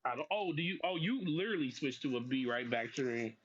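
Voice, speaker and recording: A man's voice: medium pitch, lively intonation, a little fast, a bit bright, husky. A man joining a game stream over a voice call, teasing and ribbing the host in casual banter. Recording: muffled voice-call audio.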